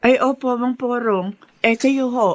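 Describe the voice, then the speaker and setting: A woman's voice: a little high, a little slow, no echo, dull audio, lightly acted. An actress plays a poor, hardworking Filipino mother in a radio drama. She is earnest and firm, devoted to her children, in a conversational tone. The sound is dry, with no room around it. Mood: relieved, friendly.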